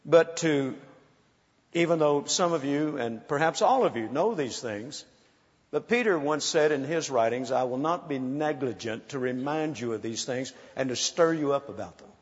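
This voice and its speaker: A man's voice: mid-range voice, lively intonation, moderate pace. A man in his sixties preaching a sermon, urging the crowd to praise and telling playful stories. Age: 60s. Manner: exhorting, calls for praise, playful storytelling. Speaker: pastor. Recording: muffled sound in a large reverberant hall.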